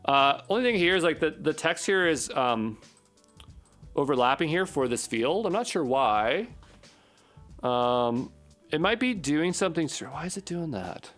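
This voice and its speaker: A man's voice: fairly high pitch, expressive, a little slow, a bit bright, faint music behind. A man giving an unscripted coding walkthrough as an instructor, thinking aloud and muttering short repeated phrases as he puzzles things through. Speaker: programming instructor.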